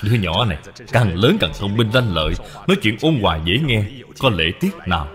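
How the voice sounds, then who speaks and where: A man's voice: on the low side, lively intonation, fairly quick, a bit bright, soft background music. A man voicing a genial great general, expansive and welcoming, in a cartoon. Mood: fondly impressed. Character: genial great general, hearty older family friend.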